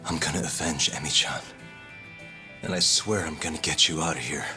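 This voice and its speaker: A man playing a dogged, cocky investigator in a dramatic video game cutscene, speaking in a measured, earnest and needling way. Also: very deep voice. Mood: earnest, remorseful. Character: dogged male investigator, calm, cocky and accusing. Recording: music behind the voice.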